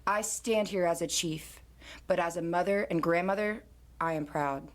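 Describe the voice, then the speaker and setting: Elderly female chief and grandmother played by a woman, proud, formal, measured, slightly low register, audio drama. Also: natural voice. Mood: solemn, proud.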